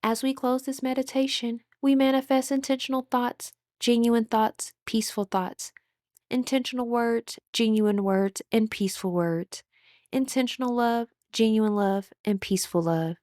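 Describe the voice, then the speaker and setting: High-pitched woman guiding a meditation. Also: fairly even intonation, normal speed, darkish tone, no room sound, studio quality. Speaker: meditation guide. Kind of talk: guided meditation.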